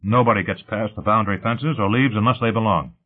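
A man's voice: on the low side, fast talker, voice pushed up, close to an everyday voice. A man voicing a sullen, crude young hothead who talks in a curt, surly way. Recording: muffled phone-like narrow sound.

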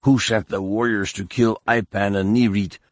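A man voicing a cold, cruel one-eyed male warrior who speaks in a low, hissing, threatening way. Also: fairly even intonation, moderate pace, no room sound, clean studio sound, close to an everyday voice. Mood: menacing.

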